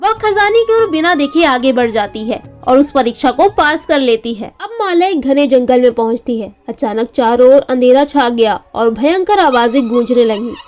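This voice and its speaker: A woman with a high-pitched voice reads a children's story. She narrates from a script as a bedtime storyteller. Soft music plays underneath. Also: expressive, normal speed, hardly any pauses.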